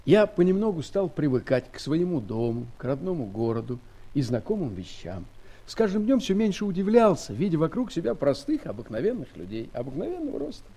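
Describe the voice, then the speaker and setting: An actor playing an earnest, wonderstruck seafaring traveller in a storybook audio play, with expressive, theatrical delivery. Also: male voice, on the high side, a little fast, breathy edge, full character voice. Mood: reflective. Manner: expressive, exclamatory, reflective. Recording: 1970s studio audio play, background noise.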